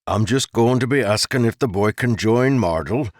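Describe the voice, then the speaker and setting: A man doing a humble, gentle lumberman dad. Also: medium pitch, normal speed, dry recording, studio quality, pitched above the performer's own voice, close to an everyday voice. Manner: deferential to nobles, gentle with his son. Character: large, humble male lumberman father, hopeful.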